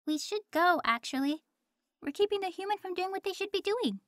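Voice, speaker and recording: A woman acts out a prim young female angel in an audio roleplay. Her voice is very high and recorded dry, without room sound. She speaks earnestly, with a hesitant, easily flustered manner. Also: lively intonation, brisk, fairly bright tone, dry recording. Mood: concerned.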